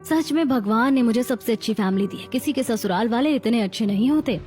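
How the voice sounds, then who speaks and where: Generous young businesswoman played by a woman, contented, high-pitched, family moral-story drama. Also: expressive, fairly quick, mellow, lightly acted. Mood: proud, affectionate. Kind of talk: acted Hindi family story dialogue.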